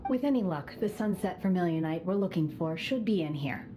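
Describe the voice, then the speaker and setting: An actress voicing an aloof, composed young woman trained by immortals, speaking in a calm, formal, measured way in a video game. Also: fairly low pitch, slight background noise, lightly acted. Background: game music.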